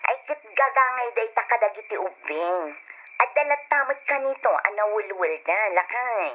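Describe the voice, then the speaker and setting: Pushy coaxing wife, highish voice, phone line sound w/ faint hiss. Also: female voice, expressive, moderate pace. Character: demanding wife smoothly asking her husband for money. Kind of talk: old-style radio drama acting.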